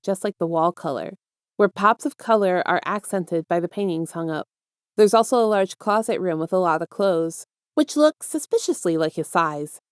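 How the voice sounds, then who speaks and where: A woman's voice: on the low side, smooth, dry recording, clean studio sound. A woman reading scripted fiction aloud as the narrator of an audio story. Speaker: podfic narrator.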